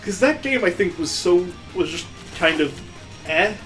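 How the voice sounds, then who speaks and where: A man with a fairly high voice gives casual game commentary as a co-host. His talk is jokey and sarcastic, with game music playing behind him. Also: expressive, a little slow, fairly bright tone. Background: video game music.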